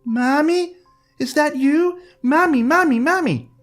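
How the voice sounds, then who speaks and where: A man voicing a small hungry vampire girl with a high, sobbing child's voice. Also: unhurried, faint music behind. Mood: eerie hungry.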